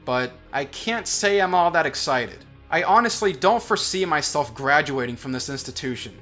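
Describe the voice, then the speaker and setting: A young man in college giving sarcastic, deadpan commentary as a voice-over. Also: on the high side. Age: young adult. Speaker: commentary vlogger. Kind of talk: sardonic scripted commentary over animation.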